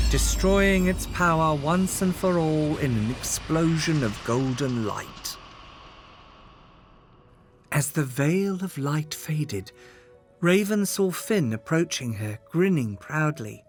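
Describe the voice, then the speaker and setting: Unhurried storyteller with a mid-pitched voice over music. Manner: expressive, measured storybook delivery. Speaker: storyteller reading a children's book aloud. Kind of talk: dramatic scripted fairytale narration. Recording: music under the voice. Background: background music.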